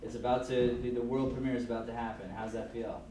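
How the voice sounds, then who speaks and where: A man hosting upbeat on-camera interviews with a showman-like, enthusiastic manner, calling people over loudly. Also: medium pitch, little pitch movement, fast. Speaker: interviewer at a kids' film camp. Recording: echoey room, far from mic, noisy.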